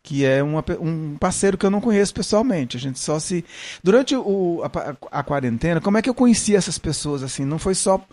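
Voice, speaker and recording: Man, middle-aged, softened Northeastern Brazilian accent, higher-pitched voice, casual interview talk, searching delivery. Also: expressive, brisk. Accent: Northeastern Brazilian, softened by São Paulo. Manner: searching, repeats words as he explains. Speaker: guest, musician.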